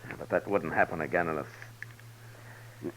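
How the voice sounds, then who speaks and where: An actor playing a tough, steady American frontier marshal in a 1950s radio western, speaking tersely and with understatement. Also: male voice, low-pitched, fairly quick. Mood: determined. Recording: muffled, hissy old radio recording.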